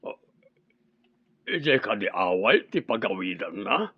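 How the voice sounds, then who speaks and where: A man's voice: on the low side, big pitch swings, slow, slightly rough, heavily acted. A man playing a worried, money-minded middle-aged father in an Ilocano radio drama. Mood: agitated. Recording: dull, lo-fi audio.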